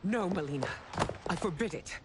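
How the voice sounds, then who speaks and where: An actress playing a proud, imperious widowed empress, regal, indignant and commanding, in a video game. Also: female voice, on the low side, lively intonation, moderate pace, noisy background, lightly acted. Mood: outraged, anguished. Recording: cinematic music behind the voice.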